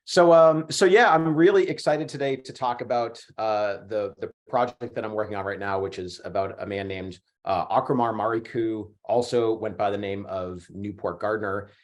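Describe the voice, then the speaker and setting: Male professor, forties, hoarse from a cold, low voice, hesitant lecturer on a laptop mic. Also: normal speed, few pauses. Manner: hesitant, many fillers and restarts. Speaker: history professor giving a talk. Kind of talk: casual online lecture. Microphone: computer mic on a video call.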